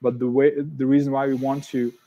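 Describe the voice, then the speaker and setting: Flat, dark-voiced Serbian-accented man hosting. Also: medium pitch, muffled recording. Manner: halting, with many fillers and restarts. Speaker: event host. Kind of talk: online interview.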